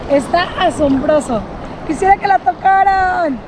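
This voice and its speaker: A young woman vlogging on a sunny beach and in the shallow sea, talking excitedly to her viewers through an action camera held at arm's length. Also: extremely high pitch, measured pace, background noise. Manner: enthusiastic, addresses viewers as family. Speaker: travel vlogger. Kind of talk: upbeat travel vlog to camera.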